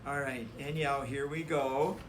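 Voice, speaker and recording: A man reading a children's story aloud in a deliberate, emphatic storytelling manner. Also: mid-range voice, fairly even intonation, noisy background. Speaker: storybook reader.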